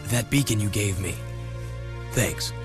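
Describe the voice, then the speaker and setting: A man's voice: on the low side, a little slow, close to an everyday voice. A man playing an embittered, curt young hero in a video game. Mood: wary. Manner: curt, brooding. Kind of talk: video game cutscene acting. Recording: loud music behind the voice.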